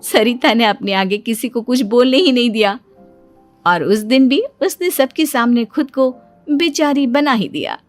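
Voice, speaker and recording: A woman's voice: on the high side, measured pace. A woman giving scripted narration for a cartoon family story as its storyteller. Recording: music bed behind the voice.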